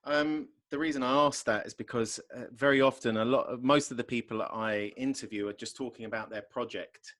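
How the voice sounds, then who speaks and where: A man's voice: mid-range voice, steady tone, fairly quick, hardly any pauses, fairly bright tone. A middle-aged man with an English accent, hosting a loose, jokey podcast interview with many ums. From England. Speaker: podcast host. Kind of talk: casual interview over video call. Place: plain home room. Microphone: large podcast mic on arm.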